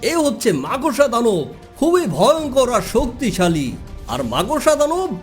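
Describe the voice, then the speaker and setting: A woman's voice: a little high, sing-song, measured pace, darkish tone, soft background music. A woman voicing huge cartoon monsters, grumbling, exclaiming and easily outraged. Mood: surprised, chummy. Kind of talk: cartoon dialogue.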